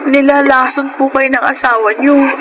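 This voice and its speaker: A Filipino actress playing a mistreated, loyal housemaid in a radio drama, respectful, plaintive and earnest. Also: female voice, sits high, steady tone, moderate pace, very noisy, lightly acted. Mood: earnest, sorrowful. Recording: loud music under radio audio.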